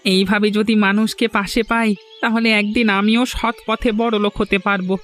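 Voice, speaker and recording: A man's voice: high voice, level tone, normal speed, a bit bright. A man voicing a scheming middle-aged village thief in a cartoon, theatrical and ingratiating, with a West Bengal Bengali accent. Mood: earnest. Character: scheming middle-aged village thief turned eager fish-hotel owner. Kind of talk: cartoon voice acting. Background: music.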